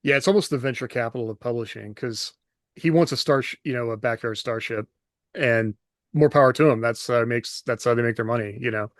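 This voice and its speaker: A man's voice: medium pitch, a little fast, no room sound, studio quality. A man co-hosting a writing podcast in casual chat, talking through ideas at length with many fillers and restarts. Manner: thoughtful, rambling with fillers. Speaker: podcast co-host.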